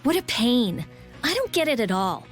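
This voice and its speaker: A woman's voice: a little high, big pitch swings, moderate pace, soft background music. A woman playing a blunt, impatient teenage girl mage in a video game, with a grumbling manner. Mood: exasperated.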